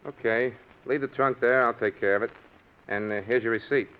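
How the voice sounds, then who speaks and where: Man playing offhand warehouse clerk, medium pitch, all business, muffled old radio sound. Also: steady tone, brisk, clear, resonant tone, close to an everyday voice. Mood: businesslike. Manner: plain-spoken, businesslike. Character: brisk, offhand working-class warehouse night clerk. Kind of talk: 1940s radio drama acting. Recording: dull old radio broadcast.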